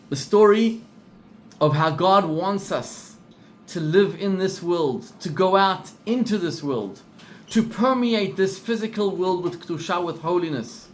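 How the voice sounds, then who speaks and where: A middle-aged man with a South African accent tells a story in a sermon, acting out each voice and repeating words for effect. His voice sits a little high and swings widely in pitch. A faint hiss runs under the recording. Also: unhurried. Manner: animated storyteller, acts out quoted voices, repeats for emphasis. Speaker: rabbi.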